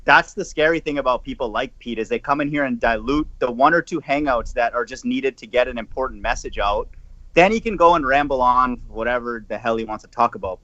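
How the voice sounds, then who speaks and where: Casting: male online panellist, emphatic and opinionated, bright tense voice, dull call-quality sound. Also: mid-range voice, no room sound. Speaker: podcast panellist. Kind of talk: casual online panel chat. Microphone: online group call microphone.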